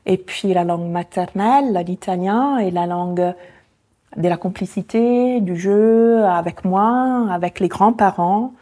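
Invitée d'interview, femme de 40 ans, accent italien, timbre sombre et feutré, réfléchie et hésitante. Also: female voice, mid-range voice, a little slow, warm tone. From Italy. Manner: reflective, many hesitations with euh. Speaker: guest, founder of a language association. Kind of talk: conversational interview.

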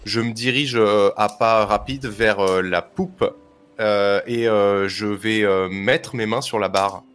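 A man playing in a tabletop roleplaying game, halting and full of fillers as he describes his actions step by step. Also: on the low side, fairly even intonation, fairly bright tone, clear, resonant tone. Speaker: player. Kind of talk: casual tabletop roleplay chat.

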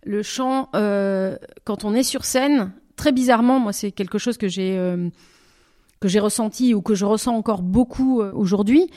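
A French-speaking woman talks about her singing in an interview. Her voice sits at a middling pitch. She speaks reflectively, pausing often and rephrasing as she looks for the right words. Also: dark tone. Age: over twenty. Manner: thoughtful, often restarts and rephrases. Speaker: singer, interview guest.

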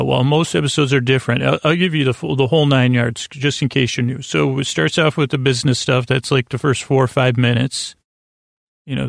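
A man hosting a bedtime podcast in a meandering, unscripted monologue, halting and interrupting himself as he drifts into tangents, with a reassuring, low-pressure manner. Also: creaky, no echo, clean studio sound. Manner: rambling, halting, self-interrupting, trailing into tangents. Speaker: podcast host.

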